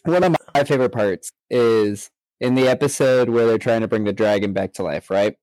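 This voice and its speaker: A man's voice: medium pitch, normal speed, a little mellow, dry recording, rough recording. A man co-hosting a podcast in casual conversation, animatedly retelling scenes he enjoys. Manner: animatedly acts out quoted scenes. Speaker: podcast co-host. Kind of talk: casual chat about an anime parody.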